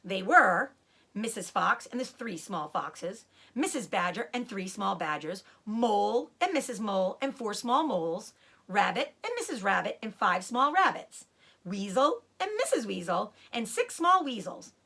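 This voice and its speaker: A woman reading a children's story aloud as an expressive narrator, with dramatic emphasis. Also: medium pitch, a little slow, creaky, breathy edge.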